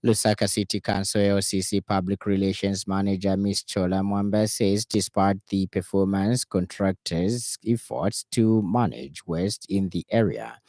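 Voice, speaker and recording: A man with a Zambian accent reading a formal, scripted radio news bulletin in a measured way. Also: low voice, creaky, darkish tone, dry recording, studio quality.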